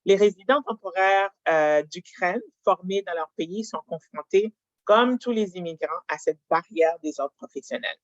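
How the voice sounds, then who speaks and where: A dry, muffled online recording of a webinar moderator. The delivery is formal and measured, hesitating often with drawn-out pauses, in a middle-pitched voice. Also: dry recording. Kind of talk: formal presentation, introducing panelists. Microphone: video call. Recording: dull lo-fi online call audio.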